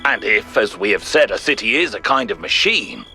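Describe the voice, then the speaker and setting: An actor playing a thoughtful, principled ancient Greek philosopher in a video game, giving a grave, measured, rhetorical monologue. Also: male voice, lowish voice, sing-song, creaky, bright, forceful tone, exaggerated voice. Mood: questioning, pointed. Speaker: narrator of philosophical audio logs. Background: music.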